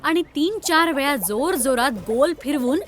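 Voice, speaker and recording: A woman's voice: high-pitched, expressive, moderate pace. A woman narrator reading a scripted story for children.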